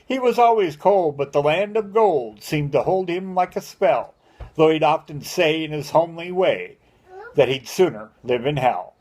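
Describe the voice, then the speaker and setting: A man's voice: fairly high pitch, expressive, normal speed, darkish tone. An older man reading a narrative poem aloud as a grandfather would, with a rhythmic, theatrical storytelling delivery. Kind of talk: dramatic amateur poem recitation.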